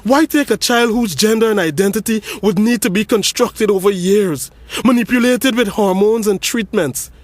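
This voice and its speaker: A man's voice: sits high, normal speed, few pauses, dry recording. A young man, playing a brother who delivers an intense, accusing eulogy at a funeral in an audio drama.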